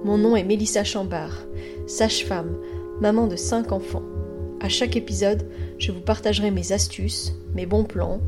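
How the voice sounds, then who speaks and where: A woman's voice: mid-range voice, roomy sound. A woman from France with a French accent, hosting a podcast as a midwife and talking in a monologue.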